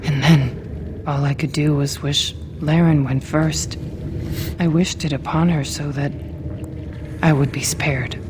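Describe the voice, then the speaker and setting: Low, haunted, half-mad dwarf captive. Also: female voice, fairly even intonation, background noise. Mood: haunted, despairing. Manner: slow, eerie chanting verse, breaking into anguished whispers. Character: haunted, half-mad dwarf woman, captured survivor, grieving. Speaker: video game character. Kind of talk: dramatic fantasy game acting.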